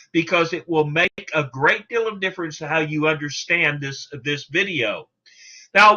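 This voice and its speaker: A man's voice: on the high side, pushed and bright. A man giving an instructional talk as a sales coach, emphatic and salesman-like, putting rhetorical questions to the listener. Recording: dull, lo-fi sound.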